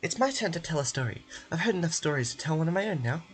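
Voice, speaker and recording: A man voicing a sweet, earnest young child in a game, telling stories gently and simply. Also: fairly high pitch, sing-song, quick pace, soft background music, voice pushed up. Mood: earnest storytelling.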